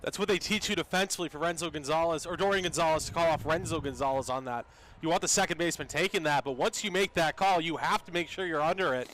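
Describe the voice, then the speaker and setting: A man with a slightly high voice breaks down baseball plays as a color commentator. He talks very quickly, analyzing the game live. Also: a bit bright, slight background noise, poor audio.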